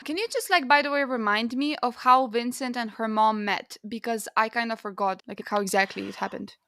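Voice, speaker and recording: A woman's voice: on the high side, a little fast, fairly bright tone. A woman hosting a podcast, chatting casually about books in an informal, opinionated way, often saying "like". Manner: informal and opinionated, peppers speech with "like". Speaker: podcast host. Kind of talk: casual book discussion.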